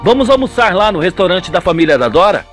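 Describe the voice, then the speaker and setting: A man's voice: high-pitched, very animated, fast talker, fairly bright tone, soft background music. A man playing an eager, easygoing young son in a radio soap, friendly and insistent. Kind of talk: radio soap opera acting.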